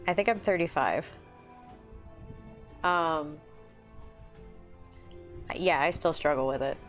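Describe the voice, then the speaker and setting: A woman's voice: deep voice, takes it slowly. A woman in her thirties hosting a casual livestream, chatty and self-deprecating, laughing often as she talks. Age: 30s. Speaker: livestream host. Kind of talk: casual chat while painting miniatures. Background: faint music.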